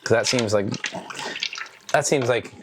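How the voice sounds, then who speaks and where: A woman's voice: very deep voice, a little slow, noisy background. A woman co-hosting a podcast, chatting casually and tipsily while she cooks in a home kitchen, rambling and making fun of herself with frequent laughs. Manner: rambling, self-deprecating, laughs often. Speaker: podcast co-host. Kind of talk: tipsy casual chat while cooking.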